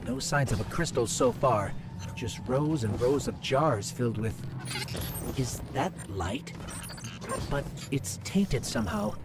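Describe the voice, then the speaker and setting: A man's voice: mid-range voice, expressive, takes it slowly, background noise. An actor playing a curious, uneasy male companion on a scouting mission in a video game, inquisitive and conversational. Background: music.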